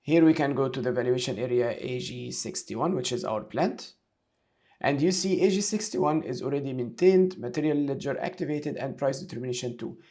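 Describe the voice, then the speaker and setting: A man giving a step-by-step software tutorial, talking through each action aloud as an instructor. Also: medium pitch, moderate pace. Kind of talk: screen-share tutorial, explaining step by step.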